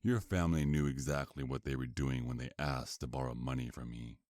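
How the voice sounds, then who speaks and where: Guy doing a creepy seductive vampire mob boss for asmr, super deep voice, close dry sound, mocking and possessive. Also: steady tone, darkish tone, dry recording. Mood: cold, self-justifying. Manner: slow, drawn-out, taunting, intimate murmur. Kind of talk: ASMR roleplay acting.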